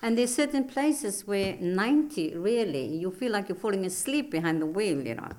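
A woman's voice: medium pitch, expressive, moderate pace, a little mellow. A middle-aged woman from South Africa, a guest in an interview, chatting easily and laughing readily. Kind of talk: casual chat.

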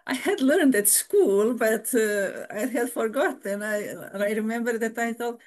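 Woman, older, nun, halting, many restarts, frequent laughs. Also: a little high, darkish tone. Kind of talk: casual interview.

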